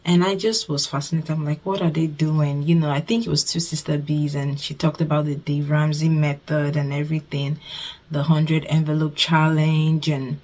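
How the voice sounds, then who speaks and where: Nigerian woman, 20s, low voice, easygoing chatty vlogger who repeats herself, talking to camera. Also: level tone, moderate pace, a little mellow. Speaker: budgeting vlogger. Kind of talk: casual talk to camera.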